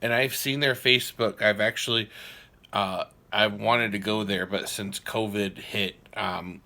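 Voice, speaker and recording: A man hosting a podcast, casually walking through a small craft store and describing it, with relaxed, rambling talk full of fillers. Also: on the low side, unhurried, creaky. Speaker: podcast host giving a store tour. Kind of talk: casual walkthrough narration.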